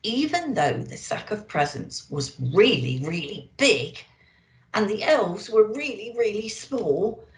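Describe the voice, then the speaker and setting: A woman speaking as a children's storyteller, expressive and deliberate, as if to young listeners. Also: medium pitch. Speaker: storyteller in character as a Christmas elf. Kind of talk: children's storybook reading and festive address. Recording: echoey room.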